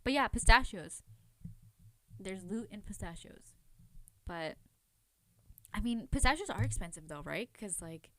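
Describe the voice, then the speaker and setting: A woman's voice: mid-range voice, lively intonation, measured pace, long pauses, fairly bright tone. A woman hosting a casual, chatty true crime podcast, talking informally in a rambling, self-questioning way with lots of filler words. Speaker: podcast host.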